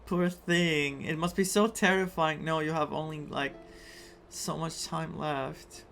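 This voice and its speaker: Game playthrough streamer: slow, musing, emotive casual commentary, faint music underneath. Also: mid-range voice, fairly even intonation, creaky, fairly bright tone. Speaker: streamer playing a story game. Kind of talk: casual playthrough commentary, reading some dialogue aloud.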